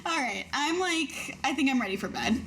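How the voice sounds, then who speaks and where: A woman's voice: sits high, animated, moderate pace, background noise. A woman playing a tabletop game, talking casually and in character.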